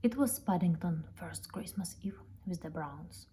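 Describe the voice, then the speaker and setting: A woman reading a children's story aloud in an expressive storytelling style. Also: on the low side, unhurried. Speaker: storybook reader. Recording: noticeable background noise, ambience.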